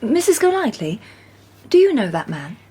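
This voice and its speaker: A crisp, composed lady detective. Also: fairly high pitch, very animated, moderate pace, warm tone, full character voice. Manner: crisp, composed, clipped when dictating notes. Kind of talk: radio drama acting.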